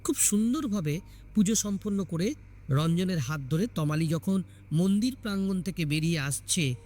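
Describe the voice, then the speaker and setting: A man narrates a dramatic audio story in a somewhat high-pitched voice. He delivers it as a scripted storyteller, reading the tale aloud. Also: lively intonation, moderate pace, darkish tone.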